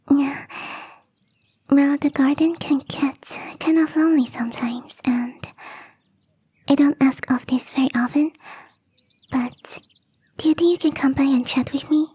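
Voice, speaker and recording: A woman playing a shy, playful young catgirl gardener in an intimate ASMR roleplay, speaking softly and hesitantly in a breathy half-whisper. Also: sits high. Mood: shy, hopeful. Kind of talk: ASMR roleplay, intimate one-sided dialogue.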